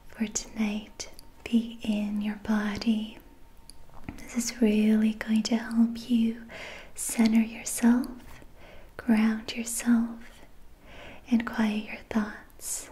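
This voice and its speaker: A young adult woman guiding a relaxation in a soft, breathy ASMR whisper, soothing, gentle and unhurried. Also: on the high side, completely flat delivery, low-quality recording. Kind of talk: whispered ASMR guided meditation. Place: small dim quiet room indoors.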